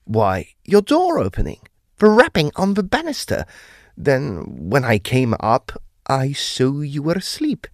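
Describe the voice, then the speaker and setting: A man's voice: medium pitch, wildly swooping pitch, a little slow, no room sound, clean studio sound. A male actor as an uneasy, well-mannered son telling a ghost story in an audio drama. His delivery is formal and old-fashioned, with dramatic, wildly varied intonation. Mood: nervous, apologetic. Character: polite, uneasy adult son recounting a haunting.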